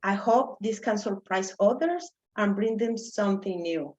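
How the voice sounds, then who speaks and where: A woman from Mexico, a native Spanish speaker with a Mexican accent, telling her migration story as a guest speaker, careful and deliberate. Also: mid-range voice, darkish tone, lo-fi sound. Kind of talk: prepared personal story.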